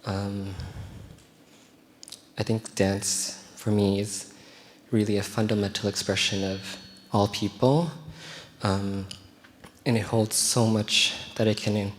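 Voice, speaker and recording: A man with a fairly low voice answers a question after a performance, speaking very slowly and thoughtfully. He sounds sincere and measured. A long theatre-hall reverb surrounds him. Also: little pitch movement. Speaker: dancer. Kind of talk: post-show talkback.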